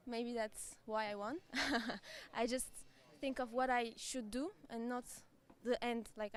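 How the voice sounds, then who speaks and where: A young woman in her twenties, a native Turkish speaker, answers questions in English. Her voice sits a little high, and she speaks softly and hesitantly. She laughs easily between her answers. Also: clear, resonant tone. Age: 20s. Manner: hesitant, soft-spoken, laughs often. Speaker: tennis player, qualifier. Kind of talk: casual interview answers.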